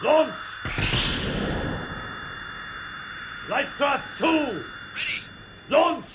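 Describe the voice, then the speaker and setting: An actor playing a crazed, commanding blind starship captain in a declamatory 1960s radio drama style. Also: male voice, very high-pitched. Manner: declamatory, theatrical. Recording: echoing, very noisy old radio recording.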